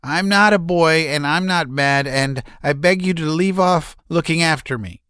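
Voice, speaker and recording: A man reading aloud, voicing a hysterical young man who shouts and stammers in a high strained voice. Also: moderate pace, clear, resonant tone, no echo, clean studio sound. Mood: agitated. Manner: shrill, stammering, near-shouting.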